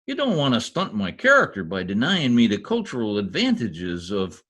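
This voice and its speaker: A man's voice: lowish voice, huge pitch swings, normal speed, a bit bright, full character voice. A man playing a blustering, stubborn small-town husband in folksy, slangy old-time radio comedy style. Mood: pleading, indignant.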